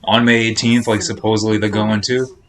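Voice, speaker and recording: A man's voice: on the low side, flat delivery, a little fast, fairly bright tone. A man chatting casually and informally as a game streamer, meandering from thought to thought. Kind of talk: casual rambling stream chat while playing. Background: game music.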